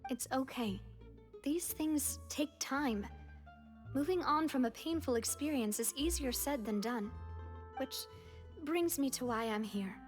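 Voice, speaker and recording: A woman's voice: high voice, measured pace. A woman voicing a confident, caring young female leader in a video game, assured and reassuring. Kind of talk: video game cutscene dialogue. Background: faint game music.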